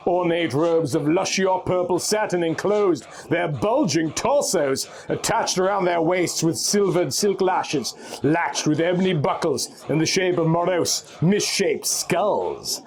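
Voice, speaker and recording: A man's voice: a little high, animated, unhurried, hardly any pauses. A man reading pulp fantasy aloud in a theatrical, scripted way, drawing out words at the ends of phrases. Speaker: narrator reading a story aloud.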